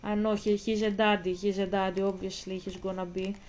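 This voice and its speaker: A woman's voice: mid-range voice, monotone, a little fast, a little mellow. A woman of twenty-five from Greece, speaking English in rambling, self-interrupting casual commentary aimed straight at her viewers. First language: Greek. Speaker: vlogger.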